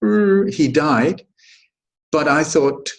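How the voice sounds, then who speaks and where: A middle-aged man from Sweden, a guest in an interview, answering at length in a halting way with many restarts and emphatic points. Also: a little high. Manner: halting, frequent restarts and self-corrections, emphatic. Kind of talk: long conversational answer.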